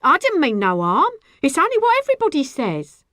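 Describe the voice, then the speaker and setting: Woman acting a high, spiteful gossiping market woman. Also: animated, fairly quick, bright, forceful tone, dry recording, clean studio sound. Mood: frightened, defensive. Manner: squealing, ungrammatical working-class speech. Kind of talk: audiobook character acting.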